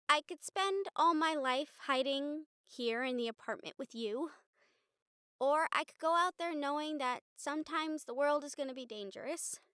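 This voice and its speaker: A woman plays an excitable young college girl with a very high, bright voice. She talks straight to the listener in a chatty, giggly, earnest way, as in an intimate audio roleplay. The recording is close and dry. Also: dry recording. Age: young adult. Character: excitable, sweet young college girl, a medium befriending a ghost.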